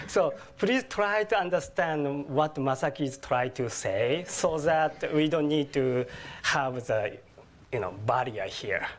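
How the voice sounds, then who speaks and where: A man from Japan with a Japanese accent, giving an academic lecture slowly, with halting restarts and self-corrections. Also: fairly high pitch, lively intonation, slight background noise. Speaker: guest lecturer. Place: lecture hall.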